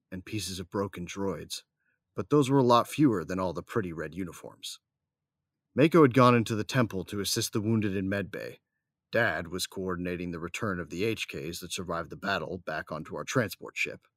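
A man's voice: fairly low pitch, fairly bright tone, no room sound, clean studio sound. A man playing a cocky, battle-weary young gunslinger, narrating and talking in a wry, casual, slangy way in an audio drama. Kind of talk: first-person audio drama narration and dialogue.

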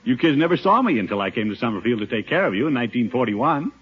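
A muffled 1950s radio recording of a pompous American uncle in middle age. His voice swings widely in pitch and has a husky edge, full of theatrical bluster. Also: big pitch swings, quick pace, faint music behind. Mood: boastful bluster. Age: middle-aged. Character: pompous, blustering middle-aged uncle, fond but easily flustered. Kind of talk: 1950s radio comedy acting.

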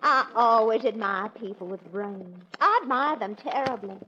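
High-pitched, coquettish Southern belle. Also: female voice, expressive, pushed and bright. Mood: gushing, flirty. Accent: American South. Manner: gushing, coy, drawn-out pleading. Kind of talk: 1940s radio comedy acting. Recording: dull, noisy 1940s radio recording.

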